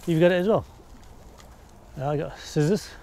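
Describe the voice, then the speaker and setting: A man's voice: on the high side, normal speed, gravelly, dark tone, slight background noise. A man chatting casually as he magnet fishes from a riverbank, easygoing and often laughing. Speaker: magnet fishing vlogger. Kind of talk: casual commentary while magnet fishing. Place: riverbank outdoors. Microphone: body-mounted action camera.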